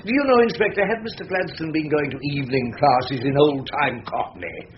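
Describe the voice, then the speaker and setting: An actor playing a wily, ageing English defence barrister in a British radio drama, wry, rhetorical and mock-deferential. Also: male voice, on the high side, animated, normal speed, dull audio, a little hiss or hum, natural voice. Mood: wry defiance. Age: older.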